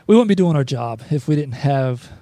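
A man's voice: mid-range voice, lively intonation, normal speed, warm tone, no room sound. A man hosting a sports podcast, chatting casually and rambling a little. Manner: loose, rambling with fillers. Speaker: podcast host. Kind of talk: casual chat.

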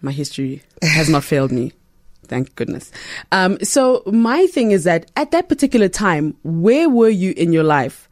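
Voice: mid-range voice, big pitch swings, moderate pace, dry recording. Eager interview host, dry close sound. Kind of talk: conversational interview.

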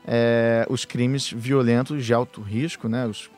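A man's voice: medium pitch, fairly even intonation, slow pace, a little mellow. A man co-hosting a casual technology podcast, explaining at length with frequent fillers and restarts. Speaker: podcast co-host. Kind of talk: casual tech podcast chat.

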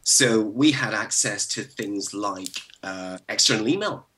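A man in his forties from Belfast with a Northern Irish accent, thinking back as a podcast guest, with frequent restarts and soft laughs. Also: on the low side, lively intonation, a little slow. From Northern Ireland. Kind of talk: relaxed interview over a call.

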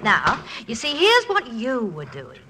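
An actress playing a gracious, gently wry college president's wife who coaches students, in 1940s radio comedy style. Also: female voice, a little high, huge pitch swings, unhurried, noisy background. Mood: encouraging, firm. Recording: 1940s radio broadcast, music underneath.

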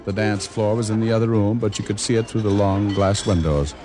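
An actor playing a wisecracking, world-weary private detective in an old radio drama, with a wry, deadpan manner. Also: male voice, lowish voice, brisk, over music, natural voice. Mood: wry, cool. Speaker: actor narrating and playing the lead. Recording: vintage radio broadcast recording.

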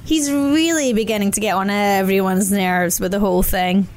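A woman's voice: medium pitch, steady tone, moderate pace, darkish tone, natural voice. A woman voicing a small, nervous female rabbit who speaks hesitantly and pleadingly.

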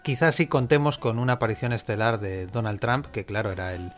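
Low-voiced Spanish man answering questions. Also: brisk, faint music behind. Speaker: scriptwriter answering listener questions. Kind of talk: casual Q&A answers.

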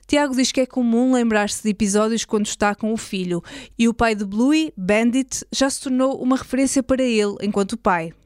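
A woman's voice: on the high side, a little fast, a little mellow, clean tone, no echo, studio quality. A woman with a European Portuguese accent reading scripted journalistic narration as a podcast host.

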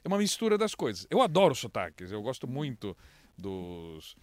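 A Brazilian man, an international correspondent, who speaks with a mixed accent and tells stories with lively swings in pitch. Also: moderate pace, no room sound. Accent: mixed Brazilian Portuguese. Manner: animated storyteller, lively pitch jumps. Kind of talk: casual interview chat.

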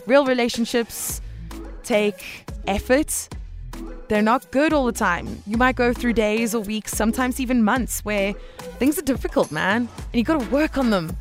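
A woman presents a radio talk show in a fairly high voice. She talks informally, with slang and emphasis, as if chatting to listeners. Music plays lightly behind her. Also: normal speed. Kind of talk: conversational radio commentary with listener voice notes.

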